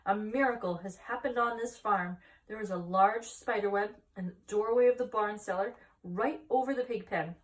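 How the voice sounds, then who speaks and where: An excited farmer husband, as a woman reader acts him out in a children's storybook. He is self-important and solemnly amazed, pressing each point with wonder, and sits a little far from the mic. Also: mid-range voice, moderate pace, hardly any pauses, pitched above the performer's own voice, natural voice. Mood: awestruck, excited. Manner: solemn, emphatic, marveling.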